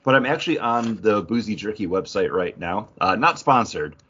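A man chatting casually as a podcast host, with a playful, enthusiastic manner and a husky voice. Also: on the low side, expressive, moderate pace, bright, forceful tone, highs cut off. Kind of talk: casual joking podcast chat.

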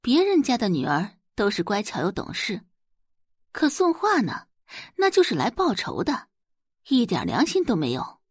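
Woman putting on a shrill, haughty rich wife. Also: huge pitch swings, moderate pace, husky, no room sound, studio quality. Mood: furious, bitter. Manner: shrill, indignant, sneering. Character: haughty, spiteful middle-aged rich wife and mother. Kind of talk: audio drama acting.

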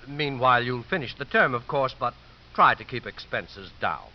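An actor playing a stern, disapproving church official who watches the money in a 1950s radio drama. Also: male voice, medium pitch, lively intonation, normal speed, natural voice. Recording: hissy, muffled 1950s radio recording.

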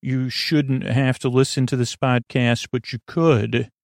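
A man with a creaky voice hosting a bedtime podcast in a meandering, halting monologue, often stammering and restarting as he drifts into tangents. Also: medium pitch, steady tone, no echo, clean studio sound. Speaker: podcast host.

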